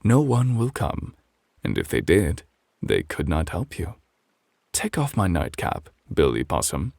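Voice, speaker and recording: A man voicing a grumpy, indignant male bear who scolds in a measured, old-fashioned way in a bedtime story. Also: sits low, wildly swooping pitch, gravelly, darkish tone, no room sound, clean studio sound, full character voice. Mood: stern, scolding.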